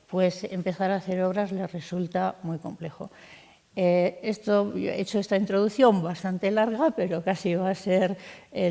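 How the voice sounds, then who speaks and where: A woman's voice: lowish voice, gravelly, warm tone. A middle-aged woman, an architect moderating a round-table, who talks at length in a discursive way with fillers and restarts. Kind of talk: round-table panel introduction. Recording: echoey hall.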